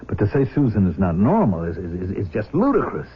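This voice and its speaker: A man's voice: lowish voice, wildly swooping pitch, a little fast, husky, heavily acted. An actor playing a protective, reasoning middle-aged father in a radio drama, earnest and firm. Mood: indignant, challenging. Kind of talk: 1970s radio drama acting. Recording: dull, noisy 1970s radio recording.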